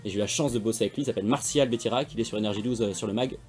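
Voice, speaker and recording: A man's voice: fairly low pitch, racing, darkish tone, faint music behind. A young man from France, a magician guest, chatting casually in slangy, rambling talk. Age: young adult. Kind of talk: casual interview chat.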